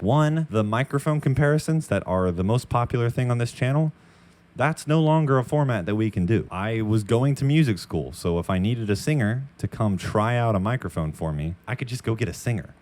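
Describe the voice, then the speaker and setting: A man's voice: medium pitch, lively intonation, a little fast, darkish tone. A young man in his twenties hosting a casual Q and A vlog, explaining microphone choices in a frank, chatty way, recorded close on a dynamic mic in a makeshift space. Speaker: audio YouTuber. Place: temporary makeshift room near a construction site.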